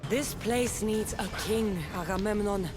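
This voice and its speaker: A steadfast female Spartan fighter, played by an actress, earnest and commanding. Her voice sits at an ordinary middle pitch in a game cutscene, with music clearly playing behind it. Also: measured pace, lightly acted. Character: resolute, loyal female Spartan warrior. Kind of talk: video game cutscene acting.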